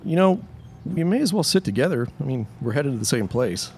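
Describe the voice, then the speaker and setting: An actor playing a friendly, earnest, folksy high school boy in a period audio drama. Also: very animated, a little fast, creaky, darkish tone, slightly rough, heavily acted. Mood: eager. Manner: eager, folksy.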